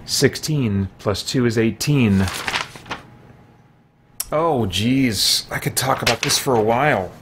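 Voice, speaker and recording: Slow-paced man chatting over a video game. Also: medium pitch. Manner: easygoing, talking to himself. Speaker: host of a gaming stream. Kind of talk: casual gameplay commentary. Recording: noisy, game engine sounds underneath.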